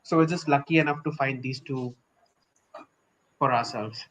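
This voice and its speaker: A man's voice: mid-range voice, moderate pace, a bit bright, poor audio. A young man from India with an Indian English accent, earnestly explaining his views in a casual podcast discussion. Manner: earnest and opinionated, explains with examples.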